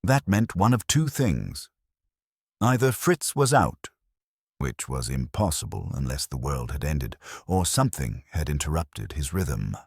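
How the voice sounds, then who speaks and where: A man's voice: rumbling low, big pitch swings, unhurried, slightly rough, dry recording, clean studio sound. A man narrating a scripted first-person detective story in a wry, hardboiled way. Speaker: audiobook narrator.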